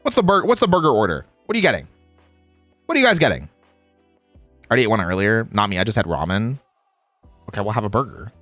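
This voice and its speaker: A man's voice: lowish voice, sing-song, fast, pauses often, a bit bright, no room sound, faint music behind. A man in a playful, casual livestream chat, reading out viewer comments and replying in slangy speech. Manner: playful, reads chat aloud and replies.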